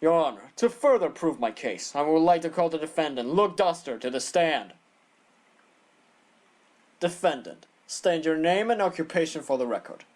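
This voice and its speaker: A man's voice: a little high, animated, moderate pace, a little mellow, lightly acted. A man acting a haughty, condescending bird prosecutor in a courtroom audio drama. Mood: forceful, confident. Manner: pompous, condescending, theatrical.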